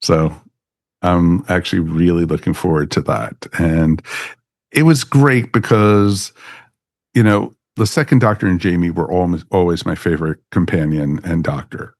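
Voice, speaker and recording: A man's voice: low voice, steady tone, moderate pace, a little mellow, no echo, clean studio sound. A man hosting a podcast in casual chat, rambling along with a fan's enthusiasm. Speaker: podcast host.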